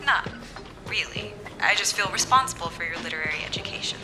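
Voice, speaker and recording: A woman's voice: lowish voice, animated, fairly quick, natural voice. Woman playing a dry, bookish teaser over music. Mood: dry teasing. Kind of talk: naturalistic radio drama acting. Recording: music under the dialogue.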